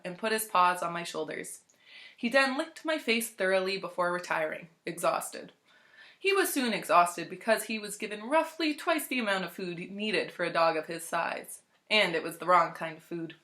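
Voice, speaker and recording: A woman reading a story aloud as narrator, in a measured, expressive, clearly phrased way. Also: medium pitch. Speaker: library storytime reader.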